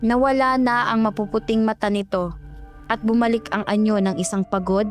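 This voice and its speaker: A woman's voice: fairly high pitch, steady tone, moderate pace, soft background music. A woman narrating a horror story in a dramatic scripted style.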